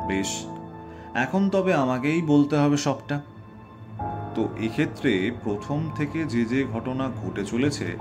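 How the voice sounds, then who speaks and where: Male actor as self-assured sleuth: formal, deliberate, theatrical reveal delivery, prominent music bed. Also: medium pitch, close to an everyday voice. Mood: confident, accusing. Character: shrewd, self-assured male Bengali detective unmasking a culprit. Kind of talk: audio drama acting. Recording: loud background music under voice.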